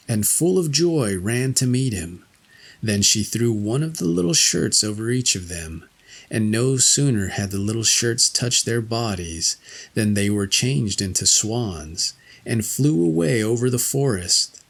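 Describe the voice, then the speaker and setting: A man narrating a fairy tale from a script, with a gravelly, husky voice. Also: on the low side. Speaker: storyteller, narrator.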